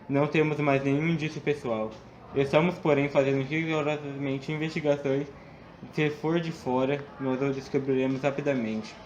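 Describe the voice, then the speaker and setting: A man's voice: medium pitch, a little mellow, highs cut off, a little hiss or hum, natural voice. A Brazilian man voicing a serious, methodical police inspector in a student radio drama, laying out facts steadily. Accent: Brazilian Portuguese.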